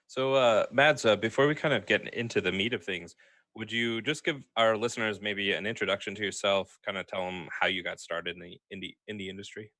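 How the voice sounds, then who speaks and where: A man's voice: lowish voice, brisk, sharp tone, no echo. A man co-hosting a podcast, asking casual questions full of fillers. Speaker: co-host. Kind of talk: podcast interview.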